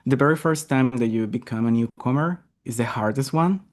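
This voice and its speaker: A man from Venezuela, a software engineer guest, answering interview questions thoughtfully with some hesitant restarts over a video call. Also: mid-range voice, fairly quick.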